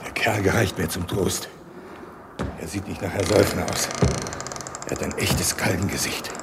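An actor playing a sardonic royal counsellor in a radio play, muttering dryly aboard a ship. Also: male voice, sits low, fairly even intonation, normal speed, vocal fry. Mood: wry, dry. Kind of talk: German radio play acting. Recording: loud storm noise over voice.

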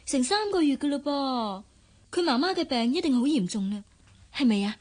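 A woman's voice: high-pitched, expressive, normal speed, darkish tone. A woman acting in a Cantonese radio drama with a Hong Kong accent, playing a young, choosy, sweetly teasing office worker. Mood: coyly probing.

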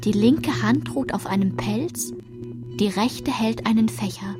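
A woman performing a radio play's literary narration as someone looking back on her girlhood, in a measured, descriptive way. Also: on the high side, music in the background, natural voice. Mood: reflective, measured. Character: reflective woman recalling her girlhood through old photographs.

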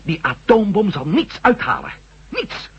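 A shrewd ex-astronaut reporter in an old radio play, pressing hard with a lively, rising and falling delivery. The voice is husky and the recording is dull and lo-fi. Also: male voice, sits high, unhurried, exaggerated voice. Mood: urgent. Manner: probing, insistent. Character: Matt Meldon, shrewd former astronaut reporter. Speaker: lead character. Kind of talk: 1970s radio drama acting.

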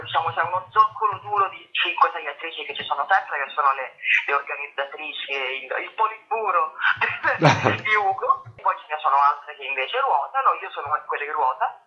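A stage actress chatting in a phone-in radio interview, animated and laughing often. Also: female voice, low-pitched. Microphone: telephone. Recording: dull lo-fi phone line.

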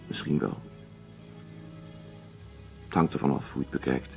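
An actor playing a cool, fatalistic ship's doctor in a radio drama, matter-of-fact and deliberate. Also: male voice, a bass voice, steady tone, gravelly, faint music behind. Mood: calm, courteous. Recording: muffled lo-fi old radio play recording.

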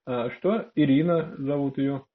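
A man's voice: on the high side, measured pace, slight background noise. A man speaking politely and hesitantly in a phone conversation with an official. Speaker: prospective student, applicant. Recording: dull lo-fi phone call.

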